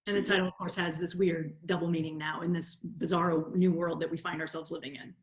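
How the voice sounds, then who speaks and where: A woman's voice: on the low side, little pitch movement, quick pace, echoey room. A woman moderating a casual interview over a video call. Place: indoor room on a video call.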